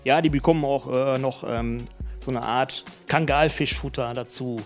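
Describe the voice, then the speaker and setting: A middle-aged man and spa owner answering interview questions in a brisk, colloquial way, speaking into a handheld mic. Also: medium pitch, steady tone, faint music behind. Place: indoor spa studio. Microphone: handheld mic held by host.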